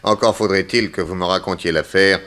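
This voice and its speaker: A suave detective in an old French radio play. His voice is low, and he talks and narrates with composed courtesy. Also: fairly even intonation, a little fast, a bit bright. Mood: obliging. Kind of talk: 1960s French radio drama acting and narration.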